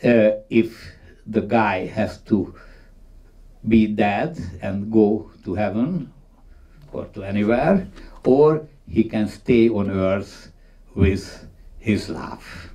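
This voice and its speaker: Slow, distant man giving a talk. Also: fairly low pitch, steady tone, dark tone. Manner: halting, emphatic, non-native English phrasing. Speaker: conference speaker on film history. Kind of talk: unscripted talk retelling film scenes.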